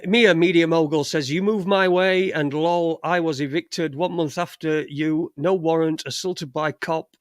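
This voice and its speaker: A man's voice: on the high side, no echo, studio quality. A man hosting a casual livestream chat, stumbling through fillers and restarts and swearing bluntly. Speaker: livestream show host.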